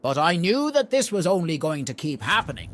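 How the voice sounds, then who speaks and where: High-voiced man telling a lively holiday tale. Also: brisk. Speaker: storyteller in a roleplay story event. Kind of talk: in-character holiday storytelling.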